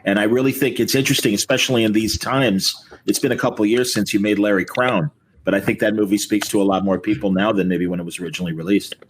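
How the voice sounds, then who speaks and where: A man hosting a casual panel interview, asking questions politely and haltingly with frequent compliments. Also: on the low side, fairly even intonation. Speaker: host moderating a cast panel. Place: indoors on a group video call. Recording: video call with noise and clicks.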